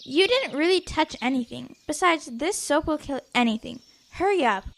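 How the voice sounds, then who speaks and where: A woman's voice: high voice, animated, normal speed, gravelly, a bit bright, slight background noise, heavily acted. A woman playing a breezy, confident young girl scout in an audio drama, casual and unbothered.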